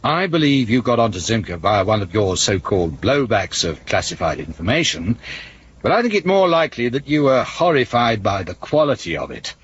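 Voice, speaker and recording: A man's voice: fairly low pitch, sing-song, fairly quick, husky, full character voice. An actor playing a dogged, indignant male police inspector who argues insistently, in a 1970s British radio drama. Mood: pressing, accusing. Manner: insistent, accusatory. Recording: dull, lightly noisy 1970s radio recording.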